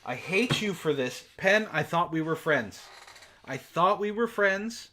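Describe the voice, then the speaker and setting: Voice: mid-range voice, lively intonation, unhurried, sharp tone. A sarcastic, exasperated book club host who swears freely, reading aloud mockingly and breaking off for casual commentary.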